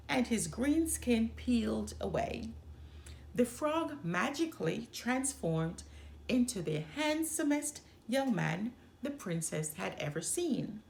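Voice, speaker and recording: Slow, very expressive woman storyteller, slightly creaky. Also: a little high. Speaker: storytime host. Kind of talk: expressive children's storybook narration.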